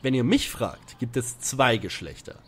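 A man with a husky voice playing a blunt, impatient amateur detective in a parody audio play. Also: mid-range voice, animated, measured pace, fairly bright tone, a little hiss or hum. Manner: blunt, direct. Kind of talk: parody audio drama acting.

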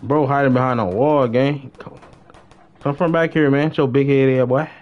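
A man's voice: mid-range voice, fairly even intonation, normal speed. A young American man chatting casually on a gaming livestream in heavy slang, often repeating his phrases. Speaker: live streamer. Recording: dull, noisy game stream audio.

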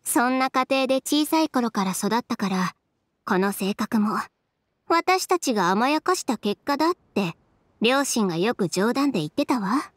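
A woman's voice: a little high, lively intonation, normal speed, dry recording, clean studio sound. A woman playing a self-assured, independent young female designer in a Japanese game dub, speaking in a cool, matter-of-fact, casual way. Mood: reflective. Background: faint background music.

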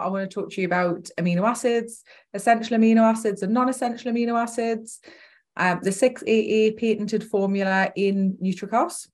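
Geordie woman presenting a webinar. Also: mid-range voice. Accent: Geordie, Newcastle. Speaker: dentist presenting a product webinar.

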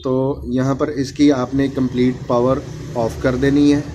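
A man's voice: medium pitch, level tone, unhurried. A man talking viewers through a phone repair step by step, repeating each instruction as his hands work. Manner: repetitive instructional phrasing, addressing viewers as friends. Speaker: phone repair tutorial host. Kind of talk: step-by-step hands-on tutorial narration. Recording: noisy home recording.

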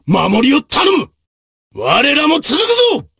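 An actor voicing a brave, martial samurai officer in a video game, with gruff, raspy, declamatory delivery in archaic period speech. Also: male voice, very high-pitched, huge pitch swings, slow, exaggerated voice. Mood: rousing battle cries. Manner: forceful, declamatory.

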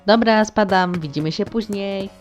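A man's voice: high-pitched, normal speed, close to an everyday voice. A man voicing a carefree, easily bored little boy prince in a cartoon fan dub, with a blunt, playful, childish way of talking. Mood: casual, playful. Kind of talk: fan-dub comic acting. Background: music.

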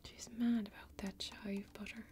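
A young woman playing a soothing, inviting fantasy tavern keeper in a soft, breathy whisper, with deliberate, lingering phrasing, as ASMR roleplay. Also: mid-range voice, little pitch movement, lightly acted. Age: young adult.

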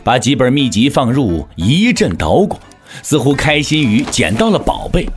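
A man narrating an audio drama as scripted storytelling, in a measured, theatrical manner. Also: medium pitch, big pitch swings, soft background music.

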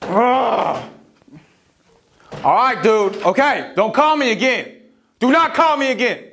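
Man putting on exasperated phone screamer, extremely high, forceful, gravelly, drawn-out words, prank skit. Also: lively intonation, heavily acted. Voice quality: strained, screaming.

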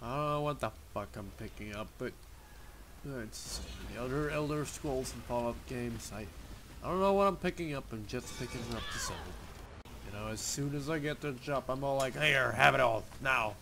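A man's voice: medium pitch, moderate pace, a little hiss or hum. A young man with as his language, giving loose, profane and jokey let's play commentary. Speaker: gamer. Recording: game sounds under commentary.

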